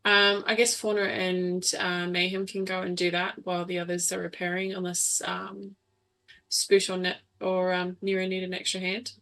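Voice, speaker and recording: A woman's voice: on the low side, level tone, moderate pace, a bit bright, low-quality recording. A woman playing a tabletop roleplaying game, chatting casually and thinking aloud as she goes.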